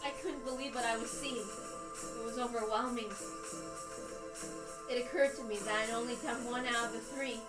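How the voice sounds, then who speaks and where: A woman with a very high voice gives a dramatic first-person reading of a visual novel. Her delivery is tense and deliberate. She sounds set back from the mic in a roomy space, with clear music underneath. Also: steady tone, recorded from a distance. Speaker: reader on a stream.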